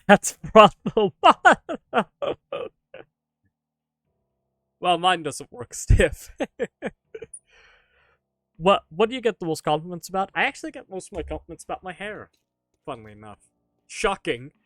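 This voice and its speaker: A man's voice: high-pitched, sing-song, a little slow, pauses often, fairly bright tone, no echo. Joking male streamer, dry close sound. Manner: joking and self-mocking, laughs often. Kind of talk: casual live-stream chat answering viewer questions.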